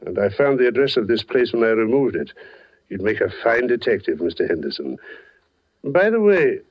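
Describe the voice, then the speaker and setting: An actor playing an urbane police captain in 1940s radio style, dryly courteous and formal. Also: male voice, on the low side, sing-song, moderate pace. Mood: gracious, amused. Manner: formal, dry, gentlemanly. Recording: muffled vintage 1940s radio recording.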